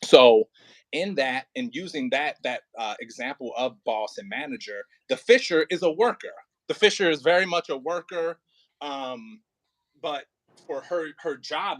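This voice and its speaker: A man working as an audio play director and acting coach, talking casually and informally, with halting pauses and emphatic repetition. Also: mid-range voice, a bit bright, dry recording.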